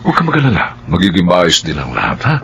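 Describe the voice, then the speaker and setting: Kind older policeman uncle, deep voice, radio drama, slightly dull sound. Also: huge pitch swings, fast talker, vocal fry, fairly bright tone, exaggerated voice. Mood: calm, reassuring. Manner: fatherly, reassuring. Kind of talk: Filipino radio drama acting. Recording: dull sound, music behind.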